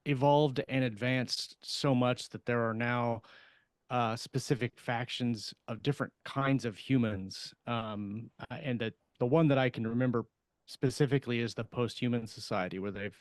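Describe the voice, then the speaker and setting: Male podcast co-host, halting phrasing, medium pitch, dry sound. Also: dry recording. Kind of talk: casual panel discussion.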